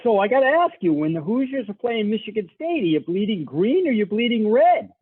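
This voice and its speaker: Animated Boston man on a phone line. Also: sits high, fairly quick, husky, dry recording. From Boston, Massachusetts. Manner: chummy, animated questioning. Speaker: podcast host. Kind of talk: casual interview.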